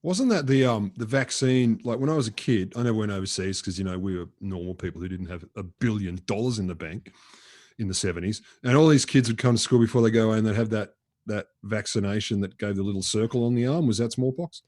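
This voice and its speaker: A man's voice: on the low side, a little mellow. A man co-hosting a podcast, firing off sardonic jokes and quips in casual banter. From Latvian heritage. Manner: quick, sardonic jokes and wisecracks. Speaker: podcast co-host.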